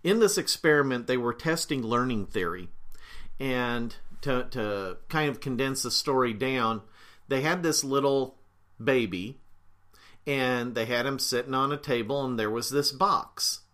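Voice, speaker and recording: Podcast host: middle-aged man, bright timbre, mildly stuffed up with a cold, unhurried and full of fillers. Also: mid-range voice. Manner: unhurried, with fillers and frequent throat-clearing. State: slightly congested, with a cold. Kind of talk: casual explanatory talk.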